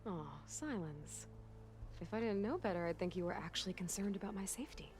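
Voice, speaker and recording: A woman's voice: fairly low pitch, expressive, a little fast, faint music behind, close to an everyday voice. An actress playing a determined, wry young female machine hunter in a video game, with a casual, direct manner. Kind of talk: video game cutscene dialogue.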